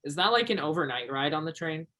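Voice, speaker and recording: A man's voice: on the high side, animated, fast talker, bright, forceful tone. An actor in a one-act stage play playing an earnest, shy young Alaskan backwoodsman, speaking a little hesitantly. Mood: curious.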